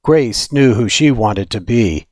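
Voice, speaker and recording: A man reading a children's story aloud in an expressive, deliberate storytelling manner. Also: medium pitch, no echo, studio quality. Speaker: storybook reader.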